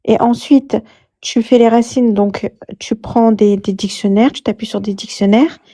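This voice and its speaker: A woman's voice: a little high, steady tone, a little slow, warm tone. A woman in a live online debate, insistently explaining her method and repeating her point. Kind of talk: casual live discussion.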